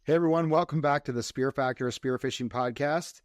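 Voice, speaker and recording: A man's voice: mid-range voice, fairly quick, dry recording, clean studio sound. A man hosting a podcast, asking rambling questions with lots of fillers and restarts. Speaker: podcast host. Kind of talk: casual interview.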